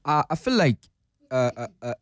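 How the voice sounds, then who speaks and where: A South African man, a community radio presenter, answering casually and haltingly with many verbal pauses. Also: medium pitch, sing-song, no echo.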